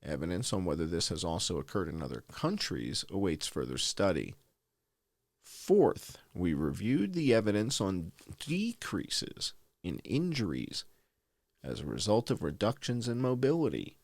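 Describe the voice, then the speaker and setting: A man reads a formal research report aloud in a fairly low voice. His intonation swings widely, with deliberate, emphatic stress. The sound is close and dry, free of room echo. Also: big pitch swings. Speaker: host.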